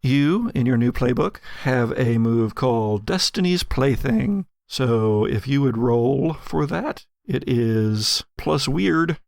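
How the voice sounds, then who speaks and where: A man working as game master, narrating a tabletop horror game with suspenseful pauses and vivid description. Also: mid-range voice, animated, creaky, no echo, clean studio sound. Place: home studio.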